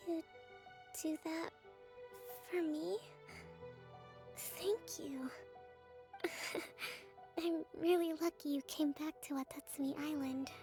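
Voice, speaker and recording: A woman playing a gentle, weary young priestess leader in an anime game, speaking softly, near a whisper, earnest and hesitant. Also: high-pitched, fairly even intonation, slow pace, long pauses. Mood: grateful. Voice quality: soft, breathy, often near whisper. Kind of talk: anime game character acting. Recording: game music under the voice.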